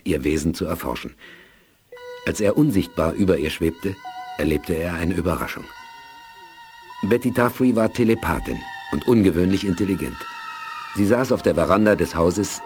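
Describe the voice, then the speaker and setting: An actor serving as the composed narrator of a science-fiction radio play, measured and articulate. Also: male voice, low voice, a little mellow, natural voice. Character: composed male storyteller of a science-fiction saga. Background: faint music.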